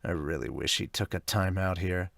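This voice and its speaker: Man voicing low grumbling young ballplayer. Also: moderate pace, vocal fry, a bit bright, no echo, full character voice. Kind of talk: manga dub.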